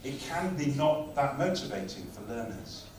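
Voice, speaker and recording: Guy talking at a teaching conference, chatty and lively, mic far off, big echoey hall. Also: on the high side, normal speed, noisy background. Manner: conversational, lively lecturing. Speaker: conference speaker on language teaching. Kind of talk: conference talk.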